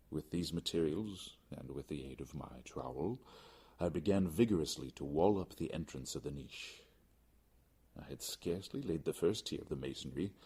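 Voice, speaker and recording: A man reading gothic fiction aloud as a dramatic audiobook narrator, theatrical, deliberate and intense. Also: very deep voice, a little mellow, husky. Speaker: audiobook reader.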